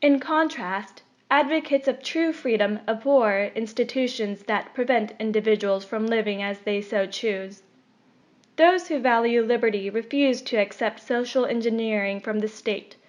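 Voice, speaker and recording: A woman reading a scripted opinion article aloud in a measured, formal delivery. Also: fairly high pitch. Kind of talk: scripted narration. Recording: dull, lo-fi recording.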